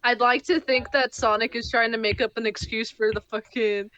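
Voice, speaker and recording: A woman's voice: on the high side, fairly even intonation, moderate pace, fairly bright tone, rough recording. A woman chatting casually with friends in a playful, insistent way. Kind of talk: casual bantering chat.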